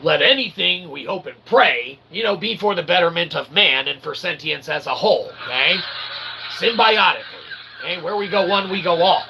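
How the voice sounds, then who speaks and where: Gravelly man, wordy and mock-formal. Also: high-pitched, lively intonation, normal speed, pushed and bright, slight background noise, rough recording. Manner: mock-formal wordy phrasing, frequent "okay?" tags. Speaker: channel host and self-published author. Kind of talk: rambling informal monologue with a sales pitch.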